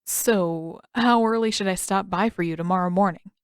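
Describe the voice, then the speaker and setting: A woman's voice: medium pitch, moderate pace, smooth, no room sound, studio quality, lightly acted. Woman voicing an awkward, eager teenage boy. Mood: hopeful, unsure. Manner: casual, hesitant, blurting.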